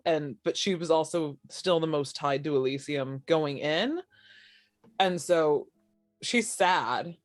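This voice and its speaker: A woman's voice: lowish voice, no room sound. A woman playing a tabletop roleplaying game, talking casually about her character in an emphatic, wry way. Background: music.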